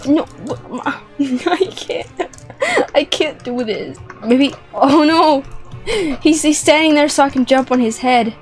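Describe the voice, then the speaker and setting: A woman's voice: sits high, takes it slowly. A young woman hosting a playful gameplay video in an exclamatory, giggly manner, often repeating phrases. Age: young adult. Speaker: gaming video host. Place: small indoor room with plush toys. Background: music.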